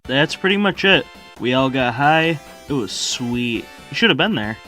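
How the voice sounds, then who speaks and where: A man's voice: medium pitch, animated, normal speed, pushed and bright, soft background music. A man voicing a goofy, boastful stoner echidna in an amateur comic dub, rambling in slang. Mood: carefree, oblivious. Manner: rambling, slangy, says lol aloud.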